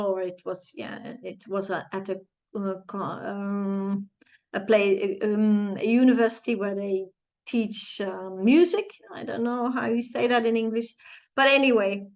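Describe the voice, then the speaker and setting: Flemish woman telling a personal story. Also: mid-range voice. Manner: halting, searching for words, many restarts and fillers; expressive, playful sound effects. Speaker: channel host, life coach.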